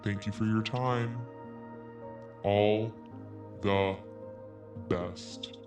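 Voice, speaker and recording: A man hosting a podcast, reading an email out loud in a mocking tone and breaking in with snarky asides. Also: fairly low pitch, fairly even intonation, extremely slow, recorded from a distance, music in the background. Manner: sarcastic, cutting in with snarky asides. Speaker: podcast host. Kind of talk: commentary, reading an email aloud.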